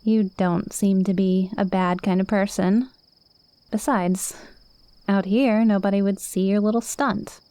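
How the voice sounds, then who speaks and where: A woman's voice: medium pitch, fairly even intonation, a little slow, mellow, clear, resonant tone, close to an everyday voice. A woman playing a cute, playful witch in an ASMR roleplay, speaking confidently and coaxingly straight to the listener. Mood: earnest, coaxing. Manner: conversational, teasing, coaxing.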